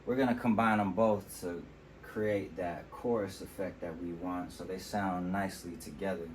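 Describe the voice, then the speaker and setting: A man with a deep voice, casually walking through how he mixes his own vocals in a relaxed, explanatory way. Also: moderate pace, over loud music. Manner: relaxed, explanatory, with fillers like "you know". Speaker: music producer demonstrating vocal mixing. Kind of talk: casual tutorial walkthrough. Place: temporary home studio.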